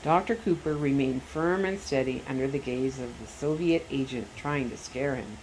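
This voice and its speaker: A woman reading a storybook aloud as an expressive narrator. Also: rumbling low, normal speed, a little hiss or hum, rough recording. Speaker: story reader and host.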